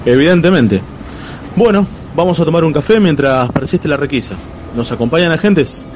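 A man's voice: a little high, lively intonation, moderate pace, a little mellow, a little hiss or hum, close to an everyday voice. A man playing an effusive, shrewd army captain in a radio drama, with a theatrical manner. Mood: jovial, confident.

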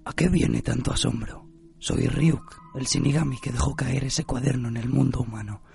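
A man's voice: mid-range voice, steady tone, normal speed, gravelly, darkish tone, faint music behind. A man playing an amused, taunting spirit of death in an anime radio drama, with a theatrical, mocking manner. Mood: teasing.

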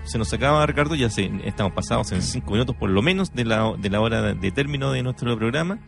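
A man's voice: medium pitch, lively intonation, quick pace, gravelly. A man hosting a radio show in unscripted chat, hesitant and often searching for words. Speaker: radio host. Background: faint music.

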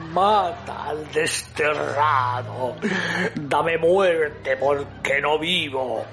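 A man's voice: fairly high pitch, creaky, heavily acted. An actor playing a tormented, half-crazed dying man in a theatrical gothic horror radio monologue. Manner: slow, dramatic, anguished declamation. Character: tormented dying man, trapped among decadent bourgeois cannibals, half-crazed.

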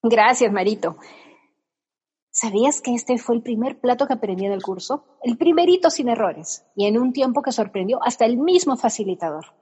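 An actress playing a sensible, patient woman friend in a radio comedy, calm and reasoning with dry irony. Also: fairly high pitch, normal speed, breathy edge, big-room sound, dull audio.

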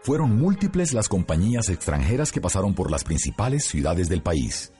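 A man's voice: sits low, sing-song, moderate pace, mellow, faint music behind. A man reading scripted radio documentary narration.

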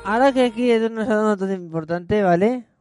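A man hosting a casual radio talk show, quizzing his guests with quick playful questions. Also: sits high, music in the background. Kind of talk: casual studio chat.

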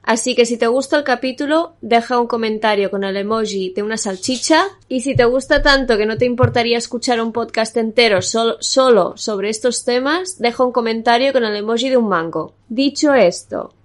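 A young woman hosting a casual podcast, chatty and quick with asides, often laughing. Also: a little high. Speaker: podcast host.

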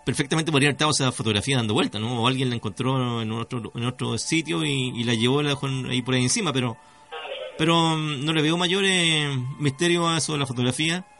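A Chilean man hosting a conversational radio show on mysteries, speaking haltingly as he searches for words. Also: mid-range voice, a bit bright. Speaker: radio host. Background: music.

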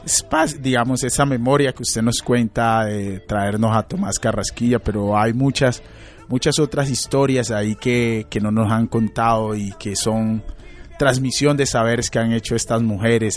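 A Colombian man in his late thirties, a psychologist and radio guest, answering in a thoughtful, halting way with many fillers and restarts. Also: medium pitch. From Colombia, Chocó. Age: late 30s. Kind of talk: reflective interview answers.

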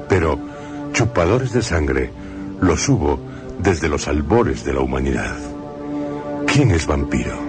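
A man hosting and narrating a radio drama program, speaking in a measured, ominous way with dramatic pauses. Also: extremely low pitch. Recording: radio broadcast with clear music bed.

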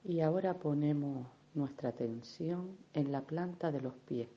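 A woman leading a guided meditation in a gentle, unhurried, soothing manner, with affectionate little diminutives. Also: sits low, highs cut off. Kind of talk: guided meditation, soft instruction.

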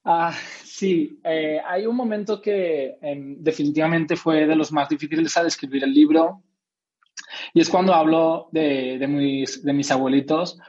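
Dull-sounding young man, higher-pitched voice. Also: measured pace, a little mellow. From Spain. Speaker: interviewed author. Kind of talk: reflective interview conversation. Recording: dull, lo-fi audio.

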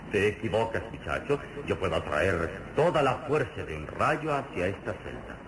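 A very low-voiced hero of an old radio adventure serial, solemn and all-knowing, explaining things deliberately with sweeping intonation. The recording is old and noisy. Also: male voice, big pitch swings. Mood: amused, reassuring. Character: calm, all-knowing heroic male adventurer, mentor to a boy. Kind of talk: vintage Mexican radio serial acting.

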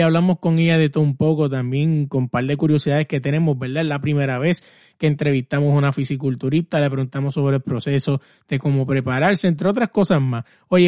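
A man's voice: fairly high pitch, dark tone, dry recording, clean studio sound. A man with a Puerto Rican Spanish accent hosting a podcast interview in a casual, colloquial way. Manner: informal, colloquial greetings and address. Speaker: podcast host.

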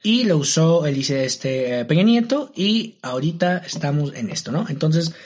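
High-voiced young Mexican man chatting. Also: lively intonation, normal speed. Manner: informal and chatty, full of fillers, slangy and indignant. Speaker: co-host. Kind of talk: casual chat.

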